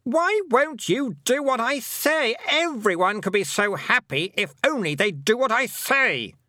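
A man's voice: on the high side, big pitch swings, slow pace, fairly bright tone, no room sound, clean studio sound, pitched above the performer's own voice, heavily acted. A man voicing an imperious fairy queen in a storybook, haughty and demanding, with a sweetly menacing condescension. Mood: petulant fury.

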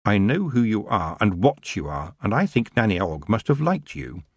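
A man's voice: fairly low pitch, sing-song, normal speed, slightly rough, no echo, studio quality, exaggerated voice. A man voicing a proud young female witch who speaks firmly and with scorn. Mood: earnest. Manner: firm, rising to scornful.

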